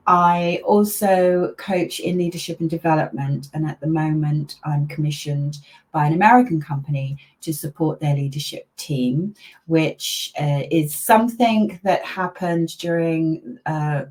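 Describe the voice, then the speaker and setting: A woman's voice: sits low, a little mellow. An older woman and grandmother from Malaysia, a parenting coach talking chattily with many fillers as an interview guest. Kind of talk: casual interview over a video call.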